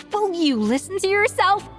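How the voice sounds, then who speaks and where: An actress playing an indignant, righteous heroine chef in a video game, speaking with commanding reproach. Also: female voice, very high-pitched, sing-song, measured pace, soft background music, full character voice. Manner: forceful, reproachful, incredulous.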